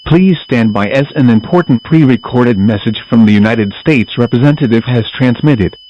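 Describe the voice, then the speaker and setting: A man's voice: mid-range voice, moderate pace, lightly acted. A man playing a formal, impersonal station announcer introducing emergency messages from a script in a radio drama. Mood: formal, neutral. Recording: dull lo-fi audio, faint noise.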